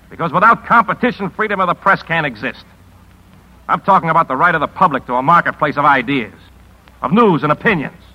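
An actor playing a principled, defiant newspaper editor in earnest, clipped 1950s radio drama style. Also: male voice, a little high, bright, forceful tone, full character voice, low-quality recording. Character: crusading, defiant male newspaper editor, weary but principled. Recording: 1950s radio broadcast recording.

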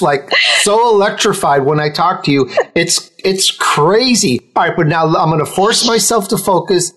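A male interview host with a slightly high voice who talks in an effusive, upbeat way. He heaps praise on his guests and sounds glad to have them on the show. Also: a bit bright. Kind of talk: upbeat podcast interview hosting.

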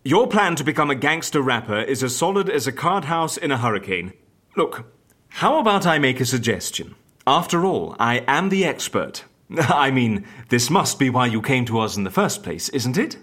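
A man playing an eccentric, theatrical agent in an audio drama, with a husky voice and a flamboyant, animated manner. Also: mid-range voice, moderate pace. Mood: exasperated, eager. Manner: animated, flamboyant, old-fashioned exclamations. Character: eccentric, theatrical male agent who stages fake deaths.

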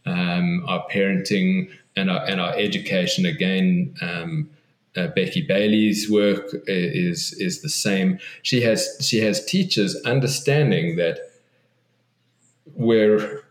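Interview guest: a lower-voiced man, earnest and reflective, who restarts his sentences, recorded in an echoey room. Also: slow. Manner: thoughtful, earnest, frequent restarts and repetitions. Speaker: guest author. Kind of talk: podcast interview.

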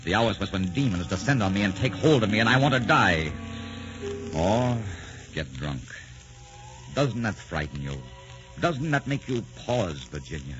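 Low-voiced, tormented brooding poet. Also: male voice, very animated. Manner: anguished, melodramatic, halting. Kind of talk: 1940s radio acting. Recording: muffled 1940s radio recording with music.

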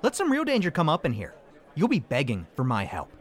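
A man's voice: on the high side, huge pitch swings, a little fast, slightly rough, exaggerated voice. A man playing a cocky, argumentative call-center worker in an audio comedy. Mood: smug. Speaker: call center customer service agent. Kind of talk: audio fiction comedy acting.

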